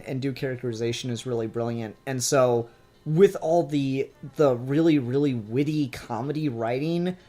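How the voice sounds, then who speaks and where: A man's voice: a little high, darkish tone. Emphatic, sweary guy talking fandom. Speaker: podcast co-host. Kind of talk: casual fan discussion.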